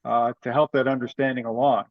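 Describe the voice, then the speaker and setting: A man's voice: mid-range voice, little pitch movement, fairly quick, no room sound. A man speaking informally and matter-of-factly as he organizes things in a meeting. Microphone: group teleconference call. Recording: dry, dull lo-fi conference call audio.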